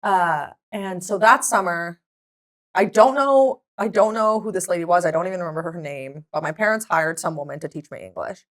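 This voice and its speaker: A woman whose first language is Hebrew chats as a podcast guest, a touch low in pitch. She tells her stories with lively energy and breaks into laughter often. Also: normal speed. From Israel. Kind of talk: casual chat.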